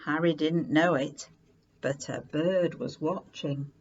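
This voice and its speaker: A woman reading a children's story aloud in an expressive, unhurried way with dramatic emphasis. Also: deep voice, lo-fi sound, slight background noise.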